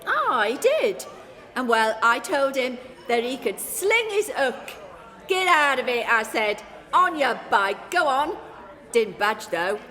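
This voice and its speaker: A feisty Cockney landlady in a comic radio play. Her voice is high, forceful and brash, full of slangy threats. It rings in a reverberant hall with a crowd behind her. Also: big pitch swings, slow, full character voice. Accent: London. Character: feisty, foul-tempered cockney pub landlady.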